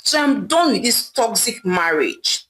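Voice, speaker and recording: A fed-up husband, as a woman narrator plays him, barking accusations in a lively, rising voice. His anger spills out line after line, loud and without pause. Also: fairly high pitch. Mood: angry. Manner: shouting angrily. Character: wronged husband, fed up and fuming.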